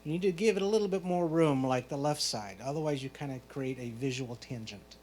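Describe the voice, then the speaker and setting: A man working as a photo competition judge, reading out prepared critiques in a measured, explanatory way. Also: medium pitch, darkish tone. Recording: clear background noise, hum.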